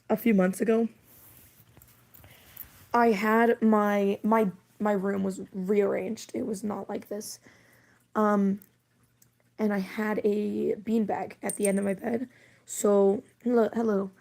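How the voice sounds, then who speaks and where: Woman streamer, hoarse and losing her voice, creaky, unhurried delivery, giggly casual chat. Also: medium pitch, mellow. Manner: rambling, giggly, full of fillers. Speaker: livestreamer.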